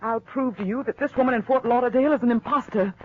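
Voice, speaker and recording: Actress for young woman in peril, radio noir; high-pitched, earnest, halting, stubborn yet fragile, muffled vintage broadcast sound. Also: natural voice. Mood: insistent. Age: young adult. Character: determined, distraught young woman disbelieved by everyone. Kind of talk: 1940s radio crime drama acting. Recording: muffled, noisy old-time radio recording.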